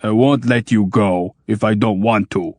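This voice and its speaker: A man voicing a dim-witted, stubborn giant machine that booms slowly and flatly. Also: no echo. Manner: booming slow and flat.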